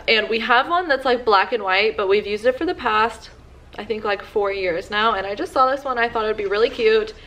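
A woman's voice: medium pitch, fairly bright tone. Chatty young woman filming at home. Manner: chatty, lots of "like" fillers. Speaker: vlogger. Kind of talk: casual shopping haul chat. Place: living room at home.

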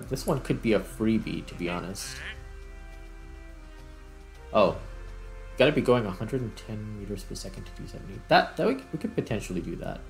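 A man giving casual gameplay commentary as host, thinking aloud in broken, halting phrases. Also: fairly low pitch, creaky. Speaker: gaming video host. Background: music.

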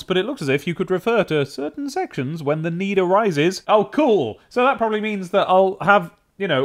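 Young British man, chatty game commentator. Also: on the high side. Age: young adult. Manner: chatty, wry, rambling asides. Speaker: let's play host. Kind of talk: casual game commentary with dramatic reading. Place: small indoor room at a desk. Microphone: desk microphone with pop filter, close. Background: game music.

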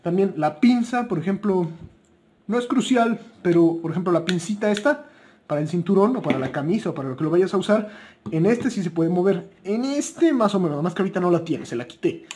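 A man's voice: sits high, animated, a little fast, darkish tone, slight background noise. A man with a Mexican Spanish accent giving buying tips in a chatty, informal, unscripted way, correcting himself as he goes. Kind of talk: casual unscripted product talk.

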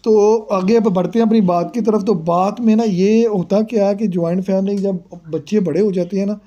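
A man's voice: sits high, steady tone, normal speed, mellow. Chatty man, dull lo-fi sound. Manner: chatty, moralizing, repeats the other speaker's words. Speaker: vlog host. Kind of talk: casual chat while filming cooking.

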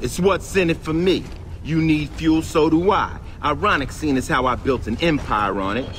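A man voices a swaggering video game fuel baron, smug and mocking. His voice sits a touch high for a man and carries a clear hiss of noise behind it. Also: moderate pace, fairly bright tone, lightly acted.

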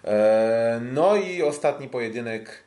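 A man hosting a casual sports podcast, talking in a hesitant, halting way. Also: mid-range voice, animated, a little mellow. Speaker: podcast host. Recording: roomy, echoing sound.

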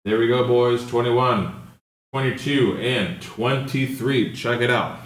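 A man's voice: mid-range voice, takes it slowly, poor audio. Upbeat man hyping a live auction. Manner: repetitive, upbeat, hyping each item. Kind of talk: live-stream auction patter.

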